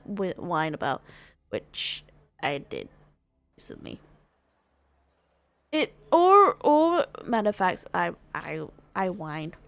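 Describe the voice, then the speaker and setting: A woman's voice: medium pitch, creaky, a bit bright. A woman livestreamer chatting casually in a hesitant, rambling way, often repeating and rephrasing herself. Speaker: livestreamer and gamer.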